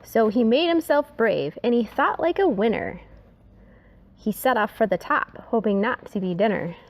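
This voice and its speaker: A woman reading a rhyming children's story aloud in an expressive, dramatic way, sometimes dropping to a hush. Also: a little high, moderate pace.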